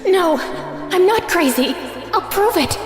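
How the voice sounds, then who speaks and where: High, impassioned young stepsister, echoing. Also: female voice, expressive, unhurried. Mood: furious, desperate. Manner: intense, emotional delivery. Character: Drizella, passionate wronged young stepsister, furious and heartbroken. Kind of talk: dramatic first-person story narration. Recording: reverberant voice over prominent music.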